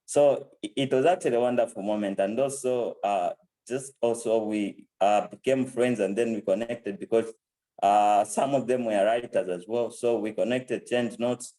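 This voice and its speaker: A man's voice: lowish voice, steady tone, moderate pace, warm tone, rough recording. A man in his twenties with a Zambian accent, giving an informal testimonial as a program alumnus. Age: 20s. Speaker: program alumnus and country director.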